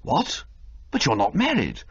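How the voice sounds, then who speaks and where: A man voicing a chatty, flustered young gentleman who talks in a breezy, fumbling way. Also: a little high, wildly swooping pitch, moderate pace, breathy edge, pitched above the performer's own voice, heavily acted. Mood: eager. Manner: breezy, fumbling, full of uhs. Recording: slightly muffled lo-fi audio.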